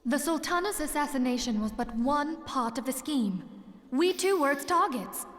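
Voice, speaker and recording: A woman's voice: high voice, animated, normal speed, a bit bright. A woman playing an earnest, dignified female leader in a fantasy game, with formal archaic diction. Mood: grave, troubled. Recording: long hall-like reverb.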